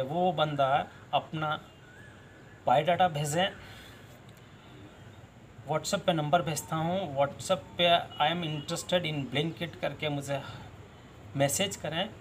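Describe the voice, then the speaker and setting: A man's voice: a little high, a little hiss or hum. A man in his thirties hosting a job channel, giving direct-to-camera advice outdoors by a wall, gesturing and repeating key points.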